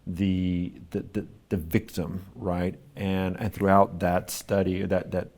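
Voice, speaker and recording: A man with a low, gravelly voice answers interview questions about his work. He speaks thoughtfully and often pauses to find the right words, building his points slowly. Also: flat delivery, darkish tone. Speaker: artist being interviewed.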